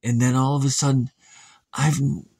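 A man with a husky voice narrates a scary bedtime tale. His telling is improvised, plain-spoken and folksy. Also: medium pitch, flat delivery, moderate pace, darkish tone, no room sound. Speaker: storyteller.

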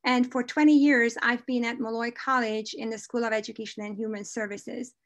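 An upbeat woman with a Hungarian accent, presenting research over a video call. Her voice sits fairly high and she talks with eager energy. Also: flat delivery, brisk. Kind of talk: conference presentation.

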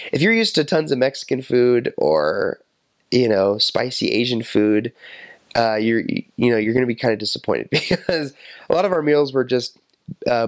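Young guy in his 20s, chatty and animated, lots of "you know", dry close lapel sound, middle pitch. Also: dry recording. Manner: animated, talks with his hands, many fillers. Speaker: returned missionary. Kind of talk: casual interview answers. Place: indoor office with window. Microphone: lapel mic.

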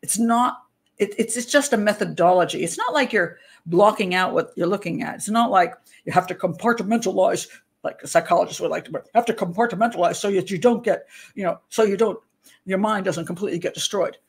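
An older woman with a slightly hoarse voice, hosting a livestream from home into a webcam. She chats in a loose, rambling way, breaks off mid-thought and laughs easily. Her pitch sits at an ordinary middle height for a woman. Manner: chatty, rambling, self-interrupting, quick to laugh. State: hoarse, clearing throat. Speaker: host, criminal profiler. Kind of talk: casual livestream talk.

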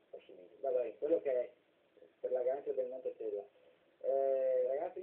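A man, an amateur radio operator, chatting casually over the air. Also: mid-range voice. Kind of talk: casual radio sign-off chat. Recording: tinny distant radio transmission.

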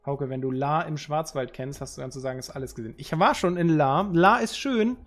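A man in his thirties chats loosely with his viewers, joking in slang as he reads their messages aloud. His voice sits a little high for a man. A headset mic picks him up in a room at home, with faint music behind. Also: brisk. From Northern Germany. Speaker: streamer. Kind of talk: casual chat with viewers.

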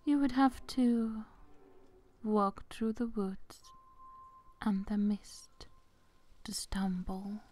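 A woman playing an eerily polite young gothic sister in a whispered ASMR horror roleplay, hushed, genteel and deliberate. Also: mid-range voice. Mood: courteous, eerie. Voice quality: soft, whispery.

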